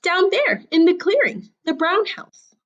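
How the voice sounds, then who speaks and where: A woman's voice: very high-pitched, very animated, unhurried, muffled recording, voice pushed up, heavily acted. A woman voicing a vain, boastful little girl from a storybook, with a preening, singsong delivery. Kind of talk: children's storybook character reading.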